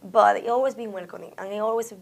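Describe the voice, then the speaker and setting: A woman's voice: mid-range voice, fast, creaky. Dominican spanish accent woman, artist talking about her work, thinks aloud, lots of restarts. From Dominican Republic. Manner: reflective, restarts often, animated bursts. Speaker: artist interviewee. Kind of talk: oral history interview.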